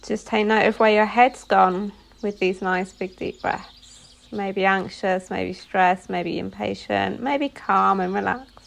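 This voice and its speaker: A woman leading a guided meditation as a yoga nidra instructor, giving soothing instructions phrase by phrase with pauses. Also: mid-range voice, fairly even intonation, gravelly.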